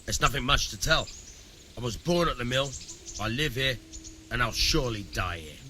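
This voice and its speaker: An actor playing a plain-spoken, shady medieval village miller with a folksy, dry manner in a video game. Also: male voice, medium pitch, animated, normal speed, bright, forceful tone, a little hiss or hum. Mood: resigned. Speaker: game character, miller who teaches thieving. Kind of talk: acted game dialogue.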